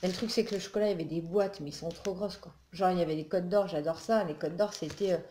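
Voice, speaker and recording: A woman's voice: fairly low pitch, quick pace, dark tone. A woman from northern France, chatting casually and rambling with lots of fillers, sounding a little groggy after just waking up early in the morning at home. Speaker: beauty and haul vlogger. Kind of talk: casual haul video chat. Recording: echoey room with faint noise.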